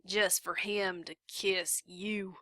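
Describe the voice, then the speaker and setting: A drunken, leering sheriff voiced by a woman reading aloud stretches every word into a slow, lazy drawl. The tone is bright and clear, recorded dry with no room sound. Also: medium pitch, little pitch movement, no room sound. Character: drunken leering male small-town sheriff.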